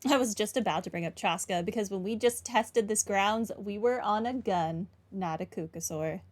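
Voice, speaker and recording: A woman's voice: medium pitch, moderate pace. A woman giving casual playthrough commentary, explaining her opinions conversationally as she reacts. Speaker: game streamer. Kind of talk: casual playthrough commentary and reading dialogue choices.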